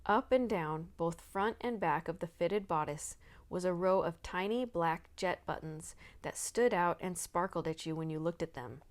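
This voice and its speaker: A woman's voice: lowish voice, normal speed. A woman narrating a children's novel aloud, acting out the dialogue expressively. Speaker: storybook reader.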